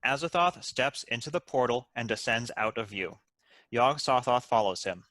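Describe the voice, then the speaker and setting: Bright-voiced man reading stage directions. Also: mid-range voice, expressive, moderate pace, no room sound. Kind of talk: scripted narration.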